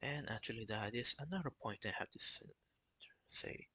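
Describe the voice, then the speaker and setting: A young man talking casually on a live stream, in a flat, halting way with many restarts. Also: mid-range voice, slight background noise. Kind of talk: casual solo stream talk.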